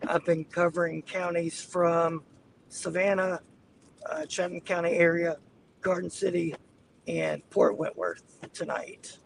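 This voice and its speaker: A man with a raspy voice, easygoing and chatty, giving live storm commentary from his car while getting over the flu. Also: a little high, steady tone, measured pace, slight background noise, rough recording. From Southeast Georgia. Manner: easygoing, addresses viewers as y'all. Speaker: weather livestreamer. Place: inside a car, driving on icy roads.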